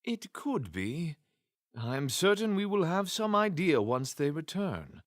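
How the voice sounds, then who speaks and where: A man playing a gracious, courtly elf patriarch in an audio drama, with smooth, drawn-out phrasing. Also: a little high, sing-song, dry recording, studio quality. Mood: musing. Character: gracious, ambitious elf patriarch and spymaster mage.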